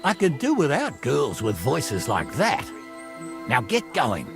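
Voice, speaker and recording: Haughty medieval lord for a game: imperious, dry, lively intonation, touch of gravel, score underneath. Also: male voice, mid-range voice, slow, over music, heavily acted. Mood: dry, commanding. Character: haughty medieval lord leading his men. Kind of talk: video game cutscene acting.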